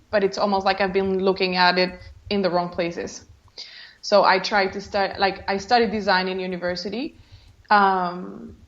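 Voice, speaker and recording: A woman's voice: medium pitch, dull audio. A woman from Colombia with a Colombian Spanish accent, a guest telling her story reflectively, often saying "like". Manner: reflective, uses many "like" fillers, laughs softly. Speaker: guest, creativity coach. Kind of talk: casual interview.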